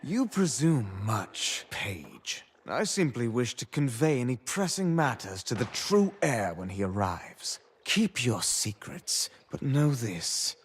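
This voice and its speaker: A man's voice: medium pitch, sing-song, slow pace, hardly any pauses, a little hiss or hum. A man voicing a scheming older royal minister in a fantasy video game, pompous, sly and condescending. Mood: cold, imperious.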